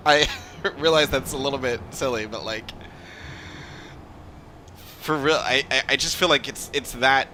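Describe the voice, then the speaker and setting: Very slow, halting male game streamer. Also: medium pitch, sharp tone, a little hiss or hum. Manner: halting, amused, repeats himself. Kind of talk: casual live playthrough commentary.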